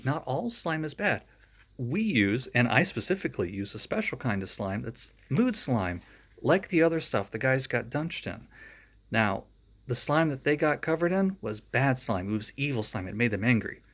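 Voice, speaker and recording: A man reads a children's storybook aloud at a medium pitch. He stops now and then for friendly, joking asides aimed at young listeners before picking the story back up. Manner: friendly, chatty asides between read passages.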